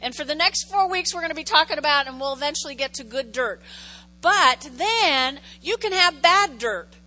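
Bright, high-voiced woman pastor preaching. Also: animated, moderate pace, rough recording. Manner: conversational, repeats key phrases for emphasis; jokey asides to the congregation. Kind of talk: sermon.

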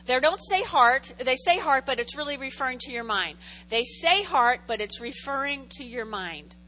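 A woman's voice: high voice, expressive, normal speed, sharp tone. A woman pastor preaching a sermon in a conversational way, repeating key phrases for emphasis and making jokey asides to the congregation.

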